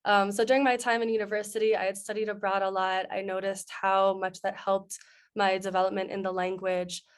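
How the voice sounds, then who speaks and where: Brief young woman panelist, flat even delivery, video call. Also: mid-range voice, brisk, smooth. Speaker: panelist, former teaching assistant abroad. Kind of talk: answering on a panel.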